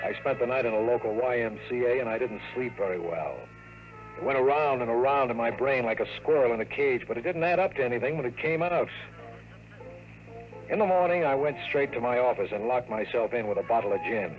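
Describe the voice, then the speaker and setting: A man's voice: medium pitch, fairly quick, natural voice, rough recording. An actor playing a wisecracking, world-weary male private investigator who narrates and talks in hardboiled 1950s radio drama style. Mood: brooding narration. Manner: wry, slangy, sardonic. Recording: old radio broadcast with music.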